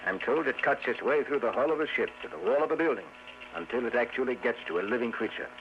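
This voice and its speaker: An actor playing an officious government psychologist in a 1950s radio drama, coolly polite with probing questions, on an old radio recording. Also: male voice, mid-range voice, fast, highs cut off, a little hiss or hum, close to an everyday voice. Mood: grave, suspicious.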